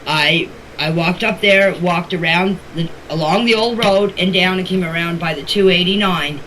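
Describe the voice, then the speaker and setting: A woman's voice: fairly low pitch, normal speed, a bit bright. Older woman in a noisy voice message. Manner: plain, step-by-step telling in colloquial grammar. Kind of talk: informal recounting of events in voice messages.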